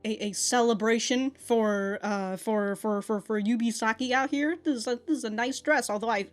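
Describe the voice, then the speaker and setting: A woman's voice: fairly high pitch, moderate pace, faint music behind. A woman giving casual, off-the-cuff reaction commentary in a slangy, profane, giggly manner. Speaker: anime reaction reviewer.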